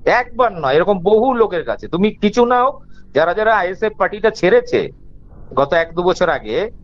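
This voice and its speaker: A man's voice: high voice, expressive, normal speed, lo-fi sound, a little hiss or hum. A man arguing on a recorded call, defensive, insistent and repeating himself. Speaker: interviewee. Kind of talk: argumentative phone conversation.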